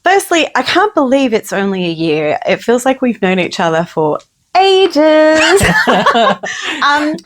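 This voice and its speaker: A woman's voice: sits high, big pitch swings, takes it slowly, fairly bright tone. Upbeat Australian woman, Gen X. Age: 40s to 50s. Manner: upbeat, laughs often. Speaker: podcast guest. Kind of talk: casual conversation.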